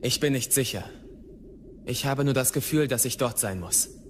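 An actor playing a restless, frustrated, headstrong young Jedi in a radio play. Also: male voice, medium pitch, moderate pace, a little hiss or hum, natural voice. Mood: impatient.

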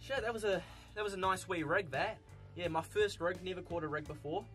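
A man's voice: sits high, brisk. A young man with a New Zealand accent, chatting casually while fishing from an outdoor wharf. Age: young adult. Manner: laid-back, chatty, excitable when hooking fish. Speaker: fishing vlogger. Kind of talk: casual vlog commentary. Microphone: camera-mounted mic, outdoors. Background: music.

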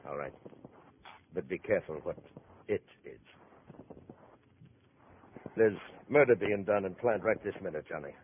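Proud troubled beat cop, middle-aged, deep voice, folksy phrasing, vintage radio drama, narrow hissy recording. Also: male voice, expressive, a little slow, pauses often. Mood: agitated, bitter. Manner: brooding, folksy turns of phrase. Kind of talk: 1950s radio acting. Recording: muffled, noisy 1950s radio recording.

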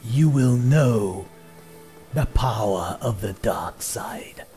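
A young man from Portugal, a native Portuguese speaker, giving jokey rambling let's play commentary on a headset as his voice grows tired and hoarse. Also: lowish voice, animated, drawn-out words, dark tone, faint music behind. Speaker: gaming commentator.

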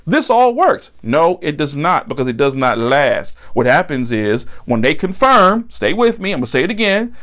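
Animated male preacher, higher-pitched voice. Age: middle-aged. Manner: emphatic, repeats key phrases for stress. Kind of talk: sermon, Bible teaching. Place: indoor set with backdrop, at a table.

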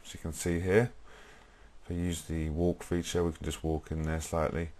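A young British man presenting a software tutorial, explaining informally step by step in a husky voice. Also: deep voice, flat delivery, normal speed. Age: young adult.

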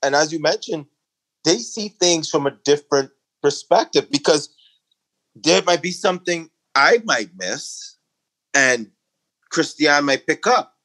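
A middle-aged man from the Bahamas with a Bahamian accent, a guest in a conversational interview, talking emphatically and climbing in pitch when he makes a point. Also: lively intonation, a little slow, pushed and bright. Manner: emphatic, rising to a preaching lilt when making a point.

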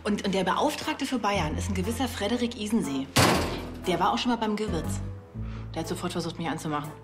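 An actress playing an unimpressed, exasperated young policewoman, brisk and colloquial, in a TV crime comedy. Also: medium pitch, loud music behind the voice, natural voice. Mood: matter-of-fact.

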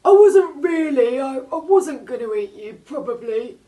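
A woman reading a storybook aloud, voicing a nervous, chatty male shark in a high, excited, rambling way. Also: measured pace, far from the mic. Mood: eager.

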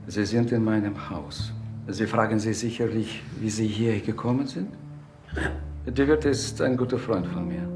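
An actor playing a polite, composed businessman and father who speaks in a measured, formal way in a TV crime drama. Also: medium pitch, close to an everyday voice. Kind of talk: naturalistic TV crime drama acting.

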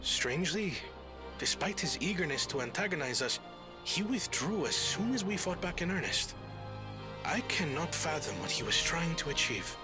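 A man's voice: fairly high pitch, sing-song, moderate pace, music in the background. An actor playing an earnest, thoughtful young male scholar in a video game, articulate and formal. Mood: puzzled, curious. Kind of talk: scripted video game cutscene acting.

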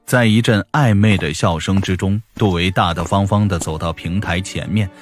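A man's voice: sits low, moderate pace. A man narrating a scripted audiobook story. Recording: music behind the voice.

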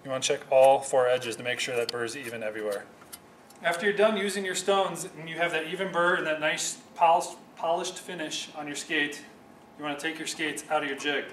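A young man with a bright, fairly high voice explains a hands-on task, calm and step by step. He sounds set back from the camera microphone. The large room around him makes his voice ring with echo. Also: brisk, far from the mic, slight background noise. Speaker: speed skating sharpening instructor. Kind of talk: instructional demonstration. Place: large office room with whiteboard and windows. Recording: echoey room, voice from off-mic.

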